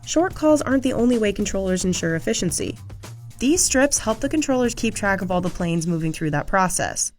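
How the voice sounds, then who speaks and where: Lively woman narrator over faint music. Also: mid-range voice, brisk. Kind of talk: scripted documentary narration. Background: background music.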